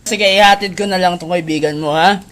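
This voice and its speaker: A woman's voice: fairly low pitch, fairly even intonation, a little fast, fairly bright tone, lightly acted. A woman playing an easygoing, slightly puzzled young male buddy in an amateur radio drama, casual and slangy. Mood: friendly.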